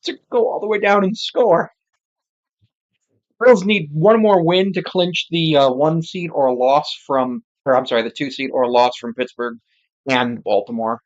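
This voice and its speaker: An amateur commentator giving casual live football play-by-play, with muttered asides and self-corrections, sex not clear from the voice. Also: medium pitch, measured pace, dull audio.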